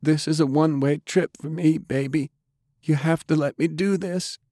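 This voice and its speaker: A male narrator plays an undead woman in an audiobook drama, her voice lifted high and soft. She pleads with sorrow and tenderness, yet stays resolute. The sound is close and dry. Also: fairly even intonation, moderate pace, no room sound, close to an everyday voice. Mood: pained, resigned. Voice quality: trembling.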